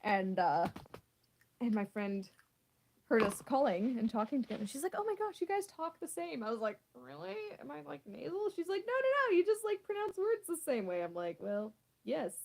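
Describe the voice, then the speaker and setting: A woman's voice: high-pitched, sing-song, moderate pace, vocal fry, darkish tone. Chatty West Coast Canadian woman. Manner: chatty, laughs often, rising sentence ends. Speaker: host. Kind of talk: casual chat.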